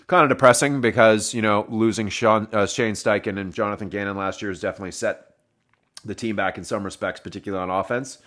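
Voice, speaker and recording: A man reviewing a football game on his own, hoarse and wheezy, coughing and correcting himself as he talks to his listeners. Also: lowish voice, a bit bright. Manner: rambling, self-correcting, addresses listeners as folks. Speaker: sports podcast host.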